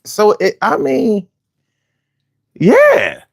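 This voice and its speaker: A man's voice: high-pitched, wildly swooping pitch, extremely slow, gravelly, husky. A man in his forties from Philadelphia with a Philly accent, hosting a casual, argumentative monologue full of slang and restarts. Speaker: host.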